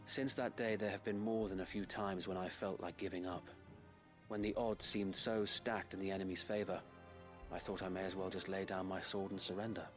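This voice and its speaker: An actor playing an earnest, devoted knight in a video game, humble and resolute, speaking solemnly and formally. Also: male voice, sits low, steady tone, moderate pace, close to an everyday voice. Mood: solemn, grateful. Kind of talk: video game character dialogue. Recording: game music behind the voice.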